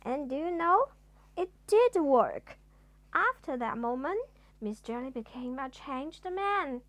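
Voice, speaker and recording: Woman storyteller, high voice, slow pace, expressive bedtime reading for a child. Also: no room sound.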